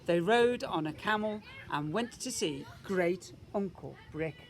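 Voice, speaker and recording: Animated man reading a picture book. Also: sits high, measured pace, slight background noise. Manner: emphatic, with rhetorical questions and asides. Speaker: teacher reading to young children.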